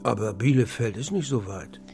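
An actor playing a lonely, rueful old pigeon keeper in a German children's radio play. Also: male voice, medium pitch, expressive, normal speed, music in the background, lightly acted. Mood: resigned, kindly. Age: older.

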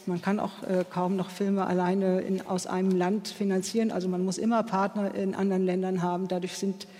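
A man from the film world speaks as a panel guest in a notably high voice. He talks earnestly and pauses often on fillers. His voice has a long reverb, as if recorded in a hall. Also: level tone, mellow. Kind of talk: panel discussion.

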